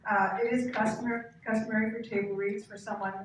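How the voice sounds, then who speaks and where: A woman's voice: on the high side, little pitch movement, brisk. Woman narrating in a very echoey room. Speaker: author and narrator. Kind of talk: live event introduction and stage directions. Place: cramped bookstore, live event. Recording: very echoey room, distant mic, clear noise.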